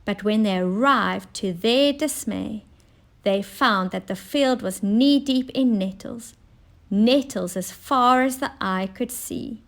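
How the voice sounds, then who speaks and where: Casting: female storyteller for young children, higher voice, unhurried, expressive scripted narration. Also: vocal fry. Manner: expressive, deliberate. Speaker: teacher reading a children's story.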